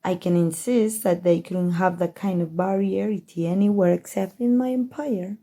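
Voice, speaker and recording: A woman with a Mexican accent playing a haughty, scheming evil queen in a soft, musing ASMR roleplay monologue. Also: fairly low pitch. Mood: indulgent, musing. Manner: slow, measured, musing delivery addressed to a listener.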